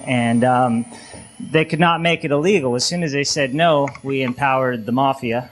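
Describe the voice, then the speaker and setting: Male panelist, mid-range voice, informal and opinionated, explains haltingly. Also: mid-range voice, low-quality recording. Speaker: panel speaker on a radio talk show.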